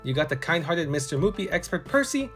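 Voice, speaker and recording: A man delivers a scripted game review with an enthusiastic, very animated voice that rises and falls freely. He speaks as a reviewer presenting to viewers, with music playing behind him. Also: on the high side, brisk. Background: background music.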